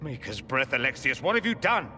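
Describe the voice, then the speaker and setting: An actor playing a witty, urbane mage in a video game, articulate and expressive, with a wry turn of phrase. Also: male voice, medium pitch, fairly quick, faint music behind. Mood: dismayed. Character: witty, urbane adult male mage, curious and concerned. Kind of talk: dramatic video game dialogue.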